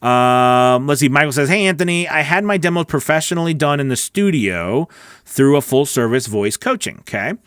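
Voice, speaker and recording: A man's voice: a little high, expressive, moderate pace, a bit bright, smooth, no room sound, clean studio sound. A middle-aged man hosting a casual livestream, chatty and rambling in an informal, friendly way as he answers viewers. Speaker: host, voice-over coach. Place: small home recording studio. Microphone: close broadcast mic on boom arm.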